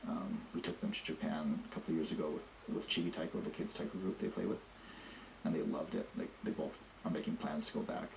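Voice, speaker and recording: A middle-aged man from Canada with an extremely deep, gravelly voice. He answers interview questions thoughtfully, pausing often on fillers. The room sounds echoey, with a faint noise underneath. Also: steady tone. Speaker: interviewee, drummer and editor.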